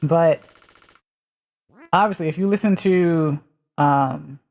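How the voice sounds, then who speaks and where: A woman's voice: sits low, slow pace, a little mellow. A woman with a mild Southern US accent, chatting casually on a stream and rambling as she thinks aloud with lots of fillers. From Southern United States.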